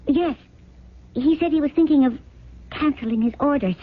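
An actress playing a naive, trusting, genteel wife with a hesitant, fretful manner in a 1970s radio drama. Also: female voice, sits high, normal speed, lightly acted. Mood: anxious, hesitant. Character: naive, trusting, anxious well-bred wife. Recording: muffled phone-like old radio recording.

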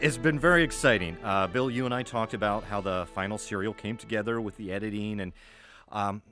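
A man's voice: lowish voice, a little fast, bright, forceful tone, soft background music. A man hosting a casual podcast chat, often restarting his phrases as he goes. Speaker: podcast host and audio drama writer.

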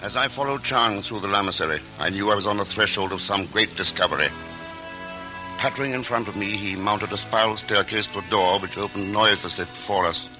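A man's voice: lowish voice, a little fast, rough recording. A man narrating and acting the lead in a 1940s radio drama, earnest and polished. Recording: old radio broadcast with music.

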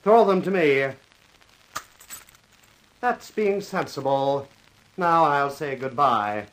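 A man's voice: fairly high pitch, lively intonation, slow pace, fairly bright tone, rough recording. An actor playing a smug, coolly menacing gunman with mocking politeness in a 1950s radio thriller. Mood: smug, threatening. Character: smug, coolly menacing male villain with a gun.

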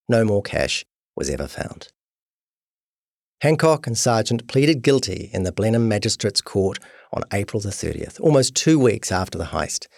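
A man's voice: lowish voice, vocal fry, darkish tone, no room sound, clean studio sound. A man narrating a scripted documentary podcast.

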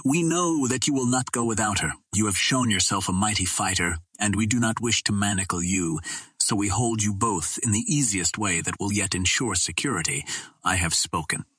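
A man's voice: fairly low pitch, moderate pace, pushed and bright, husky, no echo. A man voicing a stern, proud alien warrior chieftain with formal, terse, commanding speech in an audiobook.

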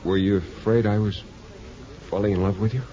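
A man's voice: fairly low pitch, fairly even intonation, moderate pace, warm tone, close to an everyday voice. An actor in a 1950s radio drama playing an earnest, lovestruck man who stammers and presses urgently. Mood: urgent, ardent. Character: lovestruck, insistent young hospital patient. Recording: noisy, dull 1950s radio recording.

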